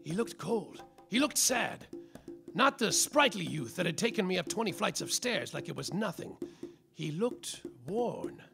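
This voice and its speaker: An actor playing a world-weary, tipsy private detective in a slapstick noir radio play, with melodramatic hard-boiled narration. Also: male voice, sits high, big pitch swings, a little slow, vocal fry, pushed and bright, faint music behind, exaggerated voice. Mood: gloomy, wry.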